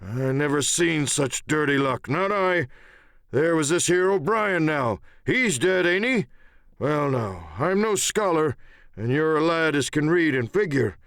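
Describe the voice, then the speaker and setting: A man voicing a sly, wounded old pirate coxswain in an audiobook, with wheedling, halting, talk. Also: on the high side, animated, fairly bright tone, dry recording, clean studio sound, pitched above the performer's own voice. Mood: sly, musing.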